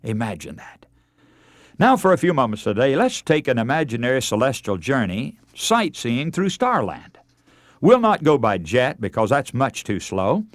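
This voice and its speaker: A man delivering a radio sermon as a preacher, earnest and emphatic, with deliberate, oratorical phrasing. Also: mid-range voice, big pitch swings, dry recording, studio quality. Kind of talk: radio sermon, oratorical lecture with scripture readings.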